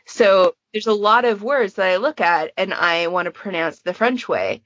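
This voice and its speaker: A woman's voice: mid-range voice, expressive, moderate pace, fairly bright tone, dry recording. Woman chatting casually, dry muffled sound. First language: English. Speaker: podfic creator and podcast panelist. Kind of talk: casual podcast chat.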